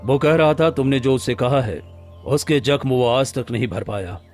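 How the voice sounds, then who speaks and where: Man as gentle village husband, middle-pitched. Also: moderate pace, faint music behind, lightly acted. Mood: sad, regretful. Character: gentle, regretful village husband, lion's friend. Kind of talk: animated moral story dialogue.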